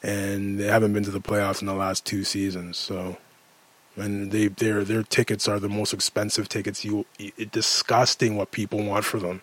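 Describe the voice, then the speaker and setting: A man's voice: lowish voice, little pitch movement, moderate pace, fairly bright tone. A Canadian man in his late thirties giving a casual, emphatic sports rant, often repeating phrases for effect in an exasperated way. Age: late 30s.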